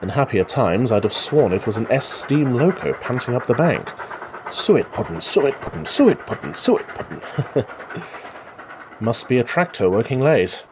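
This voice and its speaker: An actor playing a chatty, wry country rambler who thinks aloud, musing and exclaiming in old-fashioned idiom, in a radio drama monologue. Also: male voice, mid-range voice, big pitch swings, a little slow, background noise. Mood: curious, admiring. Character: chatty male country rambler talking to himself, wry and excitable.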